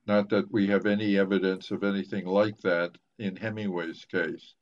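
An older man speaking as a professor leading a literary discussion, halting and deliberate, with long pauses, over a video call. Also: low-pitched, level tone. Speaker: professor moderating a book discussion. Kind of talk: literary discussion, lecturing informally. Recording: muffled, dull video call audio.

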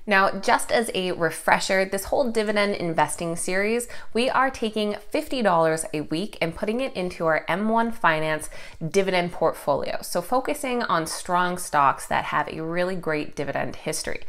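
A woman's voice: lowish voice, lively intonation, moderate pace, a bit bright. A young woman hosting a finance channel, talking casually to camera from a home office, a little nasal and congested from a cold. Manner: upbeat, conversational, explains step by step.

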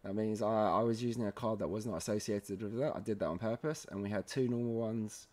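Low-voiced man giving a casual software walkthrough. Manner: informal, halting, often checking in with "okay". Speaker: tutorial presenter.

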